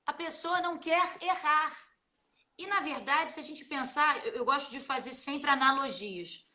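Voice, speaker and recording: A middle-aged Brazilian woman, an English teacher chatting on a live stream, lively and fond of acting out little scenes. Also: high voice, normal speed. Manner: animated, acts out little scenes with quoted voices. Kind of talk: casual live chat.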